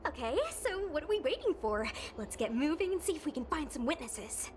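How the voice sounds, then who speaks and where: A woman's voice: sits high, big pitch swings, a little fast, noisy background. A brash, blunt young swordswoman. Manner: blunt, impatient, teasing. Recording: game cutscene with music behind.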